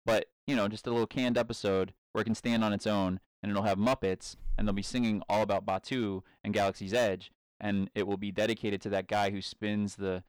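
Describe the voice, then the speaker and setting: Co-host, male, low voice, dry close sound, deadpan contrarian rambler. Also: brisk, hardly any pauses, clear, resonant tone, no room sound, low-quality recording. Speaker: podcast co-host. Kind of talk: casual fan banter.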